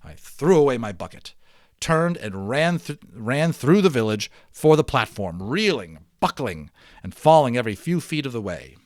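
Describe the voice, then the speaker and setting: A man reads a children's adventure novel aloud with expressive, emphatic delivery. His voice sits at a medium pitch in a dry, close-sounding recording. He narrates dramatically and also speaks in quieter explanatory asides. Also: normal speed, no echo. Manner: expressive, emphatic, with hushed drops.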